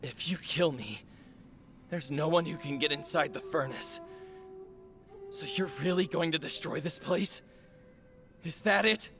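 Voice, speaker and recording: An actor playing an earnest, grave forge overseer in a video game, solemn, accusing and deliberate as he confronts a traitor. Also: a little high, lightly acted. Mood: strained, desperate. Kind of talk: dramatic video game voice acting. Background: background music.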